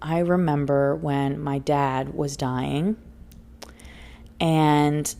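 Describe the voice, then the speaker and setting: A woman's voice: deep voice, steady tone, extremely slow, vocal fry, dark tone. A woman hosting a solo spiritual self-help podcast, speaking earnestly and emphatically, often laughing and repeating phrases for emphasis. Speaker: podcast host and life coach. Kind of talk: solo motivational podcast talk.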